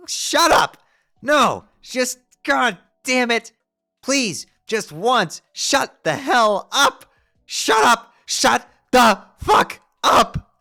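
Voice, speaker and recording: A performer voicing a fierce teenage girl who scolds and yells in exasperation. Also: mid-range voice, very animated, a little slow, faint music behind, heavily acted. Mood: yelling.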